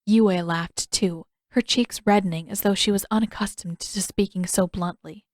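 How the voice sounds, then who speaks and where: A woman's voice: mid-range voice, fairly even intonation, moderate pace, dry recording, studio quality. A woman reading a scripted story aloud as an audiobook narrator. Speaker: fan fiction author reading own story.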